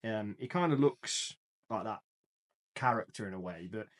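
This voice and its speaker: A man's voice: fairly low pitch, animated, moderate pace. A man co-hosting a casual film chat podcast, talking loosely with fillers and easy laughter. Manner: chatty, laughs easily, trails off with fillers. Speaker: podcast co-host.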